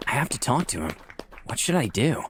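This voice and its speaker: A man's voice: mid-range voice, sing-song, fairly quick, fairly bright tone, a little hiss or hum. A man voicing a thoughtful, cautious visual novel protagonist in first-person, introspective narration. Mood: reflective. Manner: reflective, introspective.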